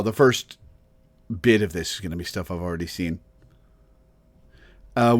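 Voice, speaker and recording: A man hosts a casual livestream in a mid-pitched voice with very lively intonation. He rambles and muses aloud, pausing to think as he chats. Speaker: livestream host. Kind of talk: casual rambling stream chat.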